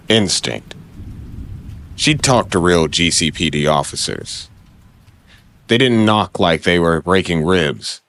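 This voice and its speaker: Deep brooding male noir narrator. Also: a bit bright. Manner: brooding, dramatic, clipped phrases. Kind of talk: noir audio drama narration.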